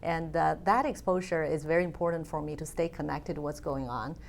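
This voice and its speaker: A woman's voice: low voice, a little fast, creaky, slight background noise. A woman, a guest in an interview, speaking thoughtfully with frequent fillers. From China. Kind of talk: conversational interview.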